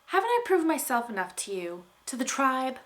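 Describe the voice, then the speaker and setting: A woman's voice: high voice, very animated, moderate pace, fairly bright tone, pitched above the performer's own voice. A woman reading the part of a fiery, stubborn young tribeswoman in a storybook, curt and insistent. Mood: indignant.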